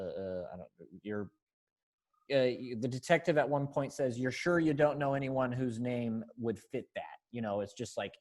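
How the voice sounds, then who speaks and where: A man's voice: mid-range voice, darkish tone. An American man in his thirties casually directing readers on a video call. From United States, Springfield, Missouri. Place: indoor room, on a video call.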